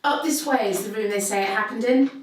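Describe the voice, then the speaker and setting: A woman's voice: mid-range voice, a little fast, close to an everyday voice. Bored female pub manager played by an actress, chatty, echoey distant recording, audio drama. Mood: bored, matter-of-fact. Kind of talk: naturalistic audio drama dialogue. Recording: echoey, distant, faint noise.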